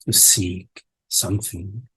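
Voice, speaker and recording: A man leading a guided meditation as a teacher, speaking in halting short phrases with long contemplative pauses and a husky voice. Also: sits low, steady tone, sharp tone, dry recording, low-quality recording. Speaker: meditation teacher leading a live online session.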